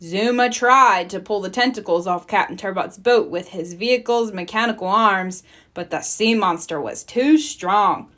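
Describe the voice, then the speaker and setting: A woman narrating a children's storybook in an animated read-aloud style. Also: mid-range voice, moderate pace. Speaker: storybook reader.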